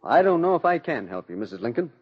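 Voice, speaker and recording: An actor playing a deferential, placating secretary with careful 1940s radio drama delivery. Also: male voice, on the high side, big pitch swings, fast, slightly rough, full character voice. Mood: cautious, doubtful. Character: cautious, deferential male secretary, soothing and apologetic. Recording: muffled vintage 1940s radio recording.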